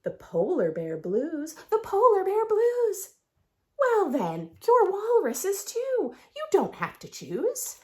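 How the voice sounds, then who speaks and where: A woman reading a picture book aloud for young children in an expressive, sing-song storytelling manner, adding her own asides. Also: extremely high pitch, takes it slowly. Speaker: children's read-aloud host.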